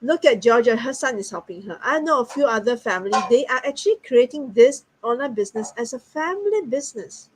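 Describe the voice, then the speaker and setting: Brief: woman, bright higher pitch, friendly and earnest guest. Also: animated, a little fast, few pauses, breathy edge, rough recording. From Singapore. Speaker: guest business partner. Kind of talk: casual online talk.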